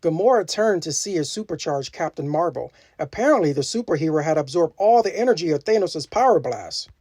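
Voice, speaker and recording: A woman narrates a children's storybook in a dramatic, expressive way. Her voice sits slightly low but moves widely in pitch. The sound is dry, with hardly any room in it. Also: a little fast, breathy edge, no room sound. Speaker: storybook reader. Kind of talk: animated scripted children's narration.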